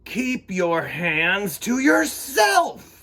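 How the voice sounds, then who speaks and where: Strict storybook teacher, done by a man: high, loud, slow and scolding. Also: big pitch swings, a little hiss or hum, exaggerated voice. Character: stern scolding schoolteacher, strict and exasperated. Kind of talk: storybook read-aloud.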